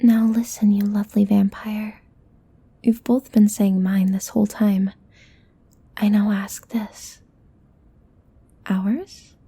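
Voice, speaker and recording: A woman's voice: medium pitch, flat delivery, takes it slowly, long pauses, warm tone, slightly rough. A woman playing a possessive, teasing succubus demon in an ASMR roleplay, often dropping into a whisper. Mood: coaxing, seductive.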